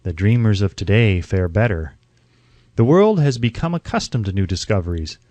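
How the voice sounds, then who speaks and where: A man narrating a self-help audiobook from a script in a measured, declarative, oratorical way. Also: lowish voice, very animated, darkish tone, dry recording.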